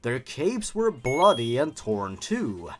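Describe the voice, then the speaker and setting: Very animated man reading with sarcastic flair. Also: mid-range voice, slow, a little hiss or hum. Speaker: host reading and mocking a fan horror story. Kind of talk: dramatic story reading with mocking asides.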